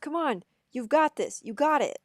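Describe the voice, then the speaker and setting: An earnest young trainer read by a woman, coaxing and encouraging in a script read-through. The voice sits fairly high and sounds close and dry. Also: expressive, normal speed, dry recording. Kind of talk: amateur script table reading.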